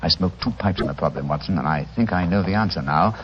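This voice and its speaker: An actor with a British accent playing a brilliant, self-assured gentleman detective, crisp and commanding, in 1940s radio style. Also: deep voice, fast. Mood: assured, composed. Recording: muffled, noisy 1940s radio recording.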